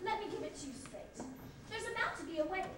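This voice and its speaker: A young woman playing a bossy, breezy sister of the bride in an amateur stage comedy, brisk and exasperated, coaxing as she goes. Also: extremely high pitch, very animated. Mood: matter-of-fact. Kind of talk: amateur one-act stage comedy acting. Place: theater stage, recorded from the audience. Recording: echoey hall, distant camera mic, hissy tape.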